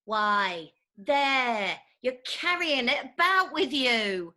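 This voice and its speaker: A woman playing a jealous, sharp-tongued young parlour maid in a staged reading of a Victorian farce. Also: fairly high pitch, lively intonation, slow, exaggerated voice. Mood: scolding.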